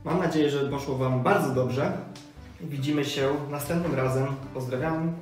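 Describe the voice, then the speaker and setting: A man's voice: mid-range voice, moderate pace. A man hosts a scripted quiz for children. His voice sounds distant from the mic in a heavily reverberant space, with faint music underneath.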